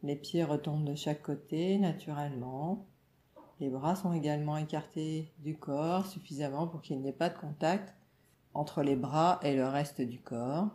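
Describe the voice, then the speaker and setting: A woman meditation teacher leading a guided relaxation in a calm, soothing, instructional way, with short phrases and pauses. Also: deep voice. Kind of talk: guided yoga nidra relaxation narration. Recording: roomy, echoing voice recording.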